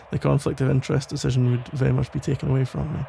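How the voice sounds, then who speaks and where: A man playing a protective, tender police officer ex-boyfriend in an intimate ASMR roleplay, speaking in halting, reassuring phrases with a gravelly voice. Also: mid-range voice, flat delivery, dark tone, slight background noise. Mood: wry, protective. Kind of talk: intimate ASMR roleplay, soft conversational acting.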